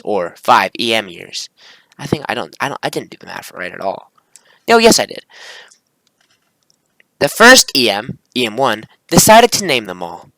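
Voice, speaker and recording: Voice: medium pitch, a bit bright, dry recording, rough recording. A video creator reading their own script aloud, stumbling and correcting themselves, with casual slangy asides. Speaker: video creator and amateur visual novel maker.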